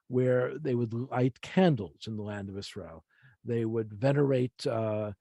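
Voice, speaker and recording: A mature American man gives an informal Bible lecture. His voice is mellow and relaxed, recorded close and dry, and he explains each point deliberately, repeating key phrases. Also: mid-range voice, no echo. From United States. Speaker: Bible teacher. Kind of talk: informal teaching lecture with slides.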